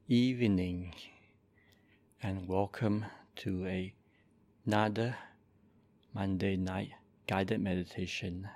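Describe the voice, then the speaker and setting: Slow, low-voiced monk in his forties. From Malaysia. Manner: slow, soft-spoken and calm, with gentle pauses. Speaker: Buddhist monk leading meditation. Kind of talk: guided meditation talk.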